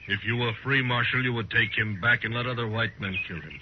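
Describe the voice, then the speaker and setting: An actor playing a proud, embittered middle-aged war leader in a 1950s radio western, speaking in formal, measured sentences. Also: male voice, medium pitch, close to an everyday voice. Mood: cold, deliberate. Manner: formal, measured speech without contractions. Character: proud, embittered older Cheyenne war leader, grave and fatalistic. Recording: noisy, muffled 1950s radio recording.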